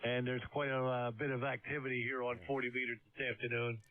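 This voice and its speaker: A man's voice: medium pitch, flat delivery, quick pace. A man on a ham radio net, talking in an easygoing, friendly way. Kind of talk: casual on-air radio chat. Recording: thin phone-like radio audio.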